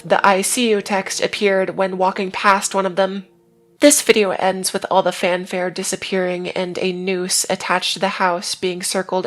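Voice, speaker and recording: A woman reads a scripted video essay in a level, monotone delivery, dropping in dry, wry asides as she goes. Faint music sits quietly under her voice. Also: medium pitch. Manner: wry asides between plain retelling.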